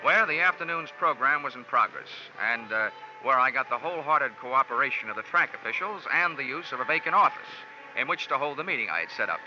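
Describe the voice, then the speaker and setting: A man's voice: medium pitch, animated, a little fast, natural voice. An American man playing a cynical, wisecracking insurance investigator in hardboiled 1940s radio style, with clipped, sardonic delivery. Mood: dry narration. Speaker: lead actor and narrator of a detective radio series. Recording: muffled vintage radio recording, music.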